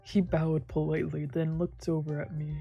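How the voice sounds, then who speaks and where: A woman's voice: deep voice, level tone, normal speed, vocal fry, muffled recording, soft background music. A woman reading scripted narration as a visual novel streamer.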